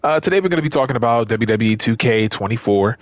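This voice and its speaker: A man talks off the cuff like a gaming video creator, rambling and sharing his opinions conversationally. His voice sits at a middling pitch and is recorded dry, with very little room sound. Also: animated, fast, dry recording. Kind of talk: casual unscripted gaming commentary.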